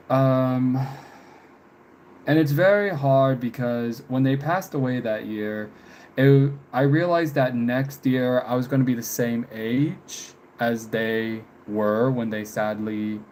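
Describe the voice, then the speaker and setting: A young man in his twenties talks casually on a live stream at an unhurried pace. He is halting and reflective, often letting sentences trail away. There is faint noise behind his voice. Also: medium pitch, a little mellow, rough recording. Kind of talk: casual live stream chat answering viewers. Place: room with window blinds, indoors.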